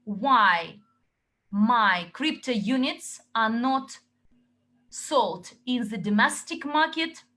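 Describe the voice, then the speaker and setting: A woman interpreting a company update into English, relaying questions and answers in short, halting chunks. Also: a little high, lively intonation, bright, forceful tone.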